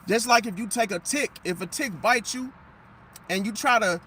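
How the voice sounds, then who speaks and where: Hoarse, high-voiced man preaching emphatically. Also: moderate pace, bright, forceful tone, slight background noise. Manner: preachy, emphatic, repeats phrases and asks rhetorical questions. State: slightly hoarse, losing his voice. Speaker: astrology commentator. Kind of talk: casual talking monologue to viewers.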